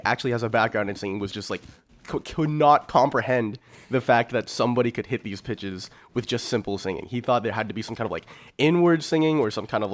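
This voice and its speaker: A young man co-hosting a podcast, chatting casually and telling stories in informal, slangy speech. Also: mid-range voice. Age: young adult. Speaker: podcast co-host. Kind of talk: casual chat.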